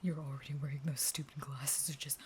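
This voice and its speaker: A woman playing a starving, unstable caged vampire who pleads with a lover in a breathy, intimate, dramatic whisper. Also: a bass voice, little pitch movement, brisk, a little hiss or hum. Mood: coaxing, pouting. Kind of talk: intimate whispered roleplay audio monologue.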